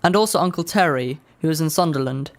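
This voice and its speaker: A man's voice: on the high side, quick pace, natural voice. Young man playing a literal, precise teenage boy. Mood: precise, insistent. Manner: matter-of-fact, precise, literal. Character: literal-minded, precise fifteen-year-old boy narrating his story. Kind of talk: radio drama narration and dialogue.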